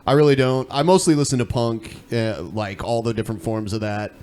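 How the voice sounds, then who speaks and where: A man's voice: medium pitch, a little fast, darkish tone, clear, resonant tone. A man hosting a podcast in casual, conversational talk. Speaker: podcast host. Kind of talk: casual chat. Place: indoor room, podcast stream.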